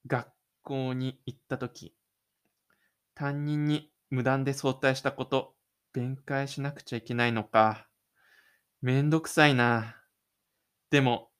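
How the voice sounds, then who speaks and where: A man's voice: mid-range voice, fairly bright tone, clean tone, natural voice. A man playing a shy, stubborn teenage schoolboy in an audio drama, muttering an inner monologue to himself.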